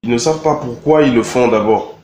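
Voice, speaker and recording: A young man from Congo answering interview questions in a relaxed, halting way, with many fillers and repeated words. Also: medium pitch, slight background noise. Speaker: rapper interviewed. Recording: echoey room with faint ambience.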